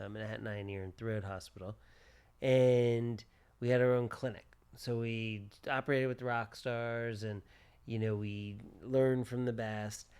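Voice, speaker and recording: A man's voice: on the low side, darkish tone, clean tone. A man in his forties, a plastic surgeon co-hosting a podcast, chatting casually in short repeated phrases. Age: 40s.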